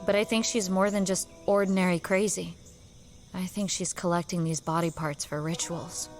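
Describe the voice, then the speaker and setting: A woman's voice: fairly low pitch, steady tone, moderate pace, a little mellow, soft background music, natural voice. A woman playing a tough, weary Old West wanderer in an audio drama, with an American accent and cool, laconic delivery. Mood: grim, resolute.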